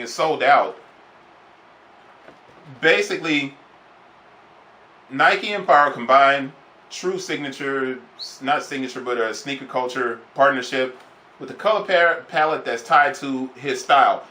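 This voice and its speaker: A man's voice: mid-range voice, pushed and bright, echoey room. A man casually reviewing a sneaker on camera, rambling and enthusiastic, repeating himself as he goes. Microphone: camera then phone.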